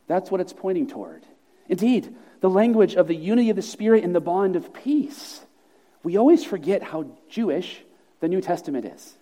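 A man's voice: high voice, dark tone. A man preaching an earnest, emphatic sermon with repeated phrases, then leading a closing prayer in a church sanctuary. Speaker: pastor. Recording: long reverb of a large hall.

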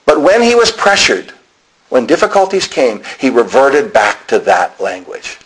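A man's voice: medium pitch, lively intonation, a little slow, poor audio. A man preaching a sermon, explaining to listeners directly and repeating key phrases for emphasis.

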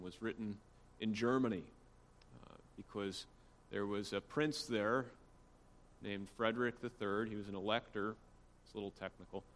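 A man's voice: lowish voice, fairly bright tone. A man giving an informal church history lecture, explaining things conversationally with casual asides. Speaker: church teacher. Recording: long hall reverb, faint background noise.

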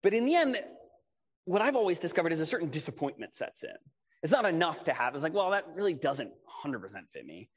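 A man's voice: a little high, sing-song, fast talker, breathy edge. A man with a big, projecting voice preaching a conversational sermon, casual and self-deprecating, often asking rhetorical questions. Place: large reverberant room. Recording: reverberant hall.

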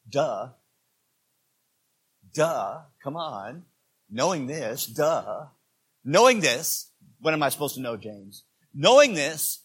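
A man in his sixties preaching a sermon as pastor, swinging between quiet asides and loud, emphatic preaching. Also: high-pitched. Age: 60s.

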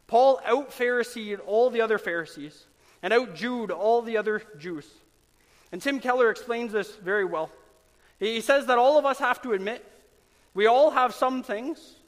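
A man preaching a sermon as pastor, earnest and emphatic, with dramatic pauses. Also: high-pitched, a bit bright, in a large hall, low-quality recording.

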